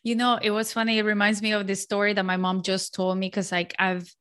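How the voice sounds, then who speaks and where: A woman's voice: medium pitch, little pitch movement, fairly quick, a bit bright. Chatty outgoing woman in her forties. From Colombia. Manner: outgoing, chatty, many fillers and restarts. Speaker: guest. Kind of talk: casual interview chat.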